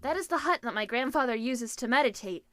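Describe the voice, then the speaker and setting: A woman's voice: fairly high pitch, lively intonation, a little fast, a bit bright, no echo, clean studio sound. A woman playing a boisterous, cheerful teenage rich girl in an audio drama, breezy and exclamatory.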